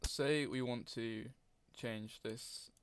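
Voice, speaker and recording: A man narrating a casual software tutorial, speaking informally and hesitantly as he thinks aloud. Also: medium pitch, steady tone, takes it slowly, very noisy. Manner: frequent throat clearing. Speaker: tutorial host.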